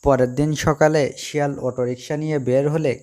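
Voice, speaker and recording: A man's voice: a little high, steady tone, a little fast, noisy background. A man narrating a scripted cartoon fable as storyteller.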